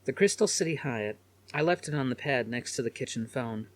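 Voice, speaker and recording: A man voicing a loving, weary mother with a gentle, anxious manner in an audiobook. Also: medium pitch, expressive, fairly quick, voice pushed up, close to an everyday voice. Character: loving, worried, weary mother, adult woman.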